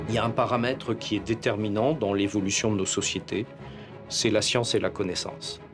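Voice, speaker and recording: A man's voice: lowish voice, fairly even intonation, brisk, over music. A man commenting in a documentary interview.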